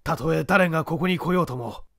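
A man voicing a composed, suave gentleman thief with formal, earnest manner in a storybook. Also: fairly high pitch, brisk, vocal fry, no room sound, clean studio sound. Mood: calm.

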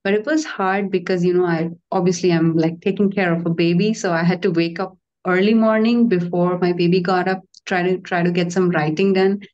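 A woman's voice: fairly low pitch, steady tone, brisk, hardly any pauses, a little mellow. A woman author on a panel, talking in a relatable, friendly way over a video call. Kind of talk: casual panel talk. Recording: dull lo-fi call audio.

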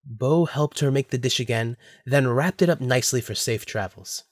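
A man's voice: medium pitch, moderate pace, dry recording, clean studio sound. A man narrating a scripted story for an audio drama.

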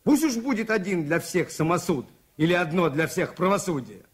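An actor playing a shrewd, calm priest detective in a 1980s Soviet radio drama, measured and politely insistent. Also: male voice, high voice, slightly rough. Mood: firm.

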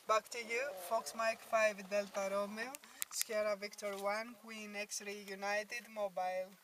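A woman making a courteous, procedural ham radio contact, spelling out call signs with halting care. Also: medium pitch, little pitch movement. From Greece. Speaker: amateur radio operator. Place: inside a parked car at night. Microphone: camera in the car, speaking into handheld radio mic. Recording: noisy car interior, distant from microphone.

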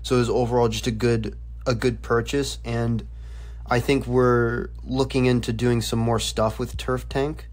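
Young man, flat conversational delivery. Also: medium pitch, a little slow. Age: young adult. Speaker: student reporter interviewed on a podcast. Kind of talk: conversational explanatory report.

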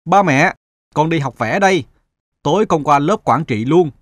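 A man's voice: on the high side, animated, normal speed, no echo. A man voicing an earnest, self-doubting young adult son in a moral-story cartoon. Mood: eager. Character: earnest thirty-year-old son, restless and self-doubting.

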